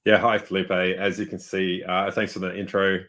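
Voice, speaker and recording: A man's voice: deep voice, normal speed. A man with an Australian accent, a developer guest on a webinar, chatting casually with lots of fillers. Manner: relaxed, rambling, many fillers and restarts. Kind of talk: casual tech chat.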